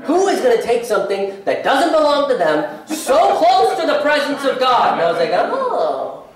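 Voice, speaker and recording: A man in his thirties tells a stand-up story with a lively, swooping voice, jumping up high for the lines he acts out. He is heard from a distance through a handheld mic in a very echoey hall. Faint room sound sits behind him. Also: normal speed, a crowd in the background. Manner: animated storytelling, acting out quotes with big pitch jumps. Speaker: stand-up comedian. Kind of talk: stand-up comedy set. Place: theater stage in front of a curtain, live audience. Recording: roomy hall audio from a distance.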